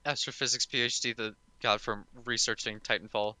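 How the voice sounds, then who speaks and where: A man's voice: on the low side, fairly even intonation, a little fast, a crowd in the background. A man co-commentating a speedrun live, casual and wry, stammering into his sentences over a noisy mic.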